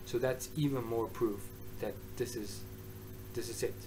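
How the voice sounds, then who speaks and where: A man giving a casual, rambling review monologue, hoarse and excitable, often restarting his sentences. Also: mid-range voice, measured pace, noisy background. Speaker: manga reviewer.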